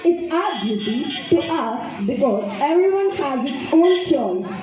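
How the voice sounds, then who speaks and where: A woman's voice: sits high, lively intonation, unhurried. A female student performer reciting simple scripted puppet-show dialogue in a rehearsed way. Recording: muffled, very echoey, noisy room with chatter.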